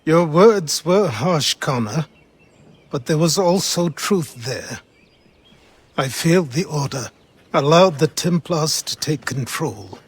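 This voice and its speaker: An actor playing a weary, wise old mentor with a husky voice and a grave, probing manner in a game cutscene. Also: male voice, fairly high pitch, animated, takes it slowly, heavily acted. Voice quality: husky, rasping. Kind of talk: video game cutscene acting.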